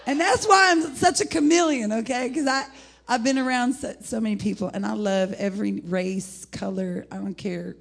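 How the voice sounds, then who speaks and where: Husky middle-aged American woman preaching. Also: a little high, normal speed. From United States (Indianapolis, Indiana). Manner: animated, rambling, folksy, breaking into laughter and exclamations. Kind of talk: informal sermon with personal testimony.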